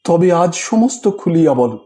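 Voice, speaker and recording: A man's voice: fairly high pitch, animated, moderate pace, mellow, natural voice. A man voicing a troubled young husband, tender and shaken, in a storybook reading. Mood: shaken, demanding.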